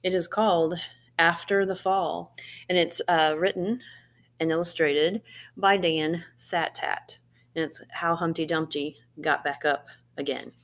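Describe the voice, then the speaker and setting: A woman's voice: lowish voice, fairly even intonation, a little slow, a bit bright. A middle-aged woman giving an informal, encouraging talk to students as a read-aloud presenter, recorded indoors at arm's length. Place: small living room, indoors.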